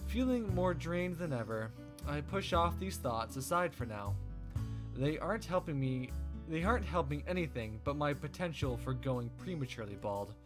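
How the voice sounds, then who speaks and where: Male playthrough host, animated higher voice, casual expressive read-aloud, music clearly behind. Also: moderate pace. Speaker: let's play host. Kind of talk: casual visual novel playthrough, reading aloud. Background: game music.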